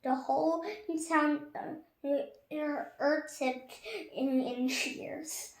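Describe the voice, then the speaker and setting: A woman reading a storybook aloud, haltingly, stumbling over words and correcting herself. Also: high-pitched, fairly even intonation. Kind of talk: storybook read-aloud with hesitations and acted dialogue. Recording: echoey room.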